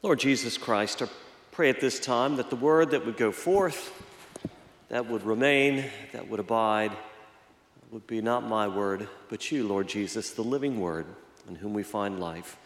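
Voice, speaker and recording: Slightly hoarse preacher in an echoey hall. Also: male voice, on the low side. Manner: halting, many fillers and restarts. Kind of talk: reflective sermon with personal story. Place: church.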